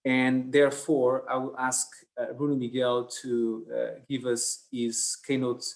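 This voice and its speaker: A man moderating an online conference panel, talking in a halting way with many fillers and restarts. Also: mid-range voice, little pitch movement, gravelly.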